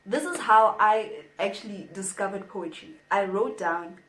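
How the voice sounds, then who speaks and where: Brief: young woman, slow and playful vlog delivery, echoey room. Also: mid-range voice, a bit bright, a little hiss or hum. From South Africa. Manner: chatty and playful, reads from notes. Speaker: vlogger. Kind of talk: casual advice vlog.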